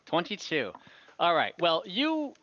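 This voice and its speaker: A man's voice: a little high, huge pitch swings, lo-fi sound. A man improvising narration as game master of a tabletop fantasy game, rambling and often stopping to correct himself. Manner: halting, rambling, self-correcting. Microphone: online voice call, close microphone.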